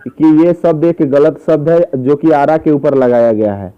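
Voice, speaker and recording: A man's voice: fairly high pitch, normal speed, clear, resonant tone. A man, questioning someone insistently and repeating his phrases in a recorded phone interview. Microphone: phone call. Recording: muffled, dull phone call recording.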